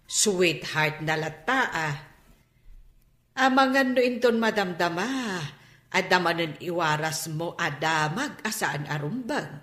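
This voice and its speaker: Sing-song, sharp-tongued teasing woman. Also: fairly low pitch, lively intonation, slow, roomy sound. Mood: teasing, scolding. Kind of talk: radio drama acting.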